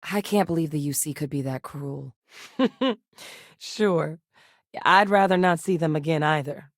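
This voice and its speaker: A composed scientist officer. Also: female voice, sits low, dry recording, studio quality. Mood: measured. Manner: measured, earnest and direct. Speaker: game character voice. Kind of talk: video game voice lines. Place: recording studio. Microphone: close studio mic.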